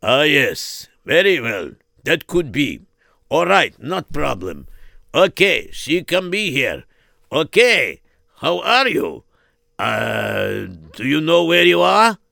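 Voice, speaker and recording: A man's voice: on the high side, very animated, slow pace, creaky, bright, forceful tone, no echo, clean studio sound, full character voice. A man voicing a pompous middle-aged king who speaks stately but trails off into hesitant rambling. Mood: kindly.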